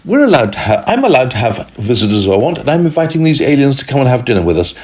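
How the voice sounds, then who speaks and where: A man voicing a sweet, cheerful little girl with an eager, high voice. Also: sing-song, fast talker, darkish tone, a little hiss or hum, close to an everyday voice.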